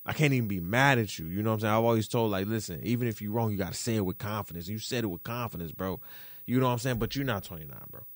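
A podcast host from Detroit doing solo commentary, roasting people in a slangy, playful way with lots of repetition. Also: male voice, on the low side, rapid-fire, no echo, studio quality.

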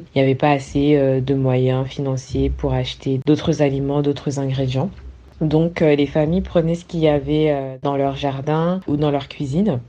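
A woman sharing a family recipe story in a hesitant way, with many filler pauses. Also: low-pitched, mellow, dull audio.

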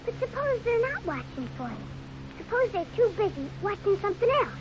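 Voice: very high voice, sing-song, a little fast. An actor playing a plucky, clever young boy in a 1950s radio western, speaking eagerly and earnestly. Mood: eager, scheming. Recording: noisy muffled old radio recording.

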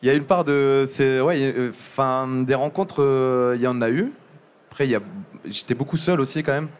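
Young male interviewee, relaxed and hesitant, resonant voice. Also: mid-range voice, clean tone. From Montpellier area. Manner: halting, many restarts and fillers. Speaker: journalist guest. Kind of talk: casual interview talk.

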